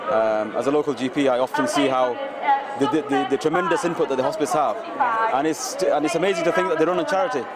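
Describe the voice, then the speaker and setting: A man with a slightly high voice gives an off-the-cuff outdoor interview as a local GP. He speaks haltingly, repeating words, with a very loud crowd all around him. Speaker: local GP and charity runner. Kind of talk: spontaneous on-the-spot interview. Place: outdoors at a running event.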